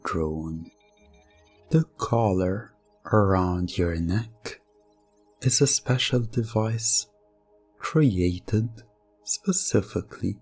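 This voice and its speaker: A man delivering scripted hypnosis ASMR narration in a deliberate, commanding manner. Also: deep voice, darkish tone, husky. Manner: slow, deliberate, commanding second-person delivery. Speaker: hypnosis ASMR role-play performer.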